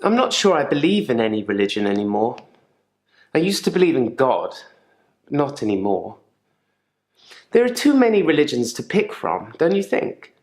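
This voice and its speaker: Wry, guarded gravedigger in an echoey room. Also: male voice, medium pitch, sing-song, normal speed, pauses often, a little mellow. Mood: matter-of-fact, musing. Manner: guarded, dryly sardonic, articulate. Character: troubled, wry gravedigger man, a therapy patient. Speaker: actor in a video game. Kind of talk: naturalistic acted monologue in therapy session.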